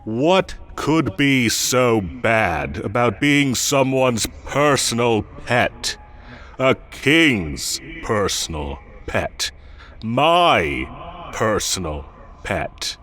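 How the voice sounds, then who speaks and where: A man's voice: medium pitch, big pitch swings, a bit bright, faint music behind, heavily acted. A man playing a smug, possessive and menacing fae king in roleplay audio, theatrical and condescending as he speaks directly to the listener. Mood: teasing, seductive. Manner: theatrical, condescending, slow deliberate coaxing.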